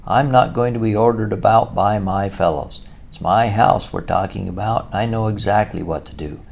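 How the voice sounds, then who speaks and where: A man voicing an excitable, boastful male toad in a storybook reading. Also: unhurried, vocal fry, heavily acted. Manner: brash, boastful, rising to shrill.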